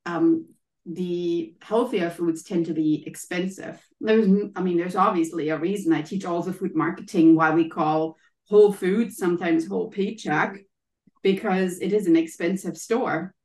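A woman's voice: lowish voice, fairly even intonation, normal speed, a little mellow, echoey room. A German woman with a German accent, a guest coach explaining things in casual interview answers, with frequent ums and maybes. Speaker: guest, health and happiness coach.

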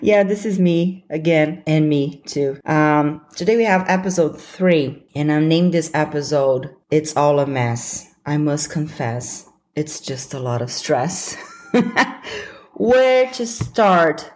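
A woman's voice: low-pitched, lively intonation, slow, a little mellow. A young adult woman from Brazil with a Brazilian accent, hosting a solo podcast in an earnest, encouraging way and laughing easily. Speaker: podcast host. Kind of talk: solo motivational podcast talk.

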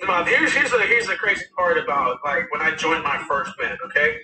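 Raspy high-voiced man, rambling. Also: big pitch swings, brisk, sharp tone, reverberant, distant mic, slight background noise, rough recording. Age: 30s. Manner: rambling, with many fillers and restarts. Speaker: musician guest. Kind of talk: casual interview talk.

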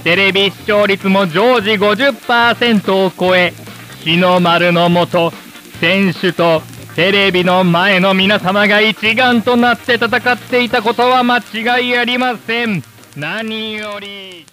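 A man playing a rousing, showy host of an old-fashioned live TV special, with formal, emphatic, drawn-out announcing. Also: sits high, fairly even intonation, sharp tone, full character voice. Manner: grandiose, emphatic, drawn-out phrasing. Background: background music.

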